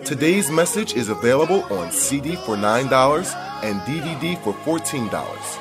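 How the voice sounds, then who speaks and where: A man reading a scripted broadcast announcement in a clear, measured way. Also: mid-range voice, big pitch swings. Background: music.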